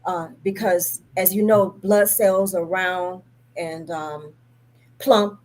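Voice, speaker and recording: A middle-aged woman guest telling her story earnestly over a video call, explaining deliberately and with emphasis. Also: lowish voice, steady tone, a little mellow. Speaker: guest, parent advocate for sickle cell. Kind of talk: earnest personal storytelling in an interview.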